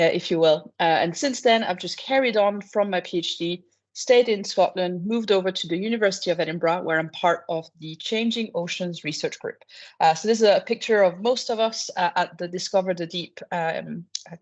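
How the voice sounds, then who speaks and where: A woman, a researcher giving an online talk to teachers in a relaxed, explanatory way with frequent fillers. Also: on the low side. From France. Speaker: marine biology researcher. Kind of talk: online presentation. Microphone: video call. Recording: dull lo-fi video call audio.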